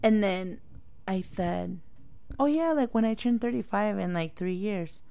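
A woman's voice: mid-range voice, lively intonation, a little slow, gravelly, warm tone, smooth. A woman in her thirties chatting casually as a podcast co-host, blunt and teasing, laughing easily and slipping into Spanish now and then. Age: 30s. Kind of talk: casual chat.

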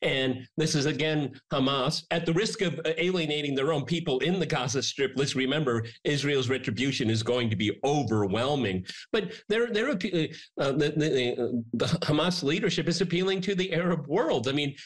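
A man's voice: on the high side, expressive, normal speed, hardly any pauses. A middle-aged or older man hosting a political panel discussion, talking emphatically and checking in with short tag questions. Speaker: talk show host.

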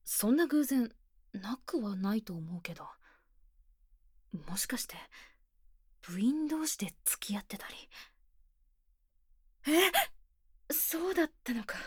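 A woman playing an earnest, shy, tomboyish senior high school girl in an ASMR voice drama, speaking plainly and hesitantly, often near a whisper, close on a binaural mic. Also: darkish tone, husky, no room sound. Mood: puzzled, musing, then surprised, reflective. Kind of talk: Japanese ASMR situation voice drama. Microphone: close binaural microphone, dry studio.

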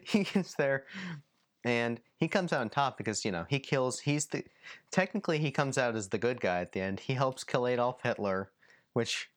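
A young man hosting a podcast, chatting casually, laughing often and jumping in excitedly. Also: medium pitch, normal speed. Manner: excitable, laughs often, jumps in over his guest. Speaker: podcast host. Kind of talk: casual chat.

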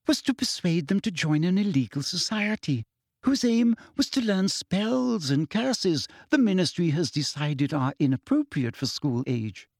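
Male reader playing a smug, sugary female official, raised pitch, soft and prim, audiobook. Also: big pitch swings, measured pace, dry recording, studio quality, full character voice. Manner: sickly sweet, smug, impatient.

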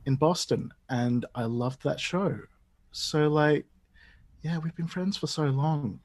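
A man's voice: a little high, slow. Man in his forties, accent mixing Australian, Dutch and British, enthusiastic, chatty podcast guest. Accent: mixed Australian, Dutch and British. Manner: chatty and enthusiastic, lots of restarts. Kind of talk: casual podcast chat.

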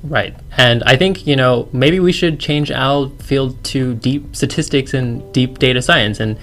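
A man's voice: medium pitch, measured pace, a bit bright, faint music behind. An Australian-accented man in his thirties, a university lecturer guesting on a podcast. He talks in an easy, chatty way and often jokes about himself. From China. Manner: chatty, self-deprecating, laughs easily. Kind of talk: casual podcast interview.

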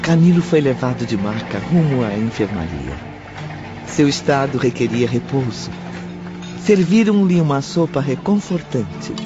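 A man's voice: mid-range voice, big pitch swings, measured pace. A man with a Brazilian accent narrating a scripted radio drama in a formal, solemn storytelling style. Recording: dull lo-fi radio drama, music behind.

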